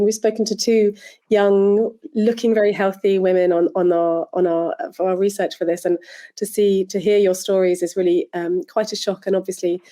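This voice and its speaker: A woman's voice: on the low side, mellow. A woman hosting an online interview, asking conversational, sympathetic questions.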